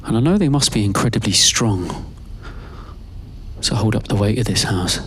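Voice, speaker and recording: A man giving a slow, scripted first-person spoken-word narration in a hushed, deliberate way, pausing mid-phrase. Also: fairly low pitch, animated, slight background noise. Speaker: performer of own monologue. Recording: loud music over the voice. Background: loud music.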